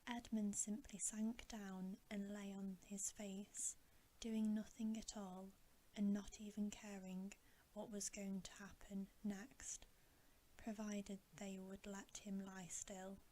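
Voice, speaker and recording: A woman narrating a bedtime story as ASMR in a breathy whisper. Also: medium pitch, little pitch movement, slow pace, warm tone. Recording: faint background noise.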